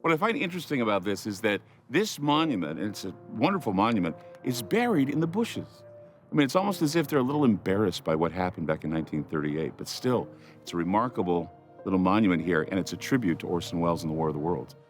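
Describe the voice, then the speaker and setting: A man's voice: low-pitched, expressive, fast, soft background music. A man giving informal explanatory commentary for a documentary.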